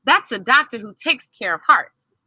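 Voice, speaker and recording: A woman voicing an eager, curious young boy playing junior doctor in a children's storybook, earnest and excitable. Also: on the high side, moderate pace, no room sound, exaggerated voice. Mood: eager, proud. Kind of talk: children's storybook read-aloud.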